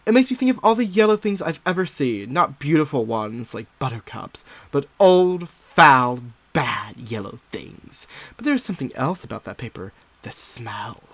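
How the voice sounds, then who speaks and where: A man playing an unsettled, secretive nineteenth-century woman diarist growing obsessed, in a theatrical, confiding dramatic monologue with drawn-out emphasis. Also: medium pitch, sing-song, vocal fry, a bit bright, dry recording, heavily acted. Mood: disgusted, fixated. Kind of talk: dramatic first-person monologue, audio theatre.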